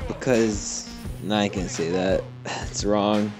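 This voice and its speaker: A man's voice: lowish voice, steady tone, takes it slowly. Giggly teenage boy gamer. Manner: giggly, joking. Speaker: co-player friend. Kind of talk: casual gaming chat. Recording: game music and gunfire behind.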